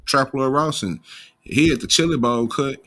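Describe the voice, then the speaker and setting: Bright-voiced American man with slight stutter. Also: medium pitch, normal speed, vocal fry. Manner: imperfect pronunciation of some words; informal, slangy, profane. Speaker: online commentary host. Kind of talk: unscripted casual commentary.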